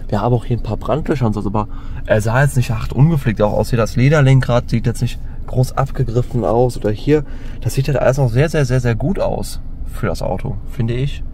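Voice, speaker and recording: A man casually walking through his car in a vlog, chatty and relaxed, with frequent fillers and restarts. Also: mid-range voice, slight background noise. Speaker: car vlogger. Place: in and around a car.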